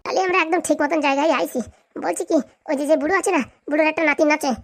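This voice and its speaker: Woman acting an earnest visitor, high voice, very rapid delivery, eager, deferential, cartoon comedy. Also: steady tone. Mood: earnest, confiding. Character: earnest, vengeful visitor seeking evidence.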